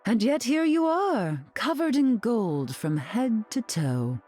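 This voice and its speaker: A woman's voice: on the high side, sing-song, takes it slowly, warm tone, faint music behind. A woman playing a haughty, glamorous, sardonic society queen in a video game, imperious and arch in manner. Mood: sardonic, amused. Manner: imperious, arch, drawling endearments. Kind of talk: video game character dialogue.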